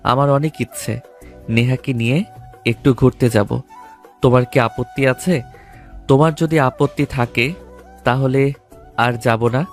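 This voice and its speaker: A man's voice: medium pitch, steady tone, unhurried, pauses often, faint music behind, natural voice. A man playing a coaxing young lover in an audio love story. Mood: pleading.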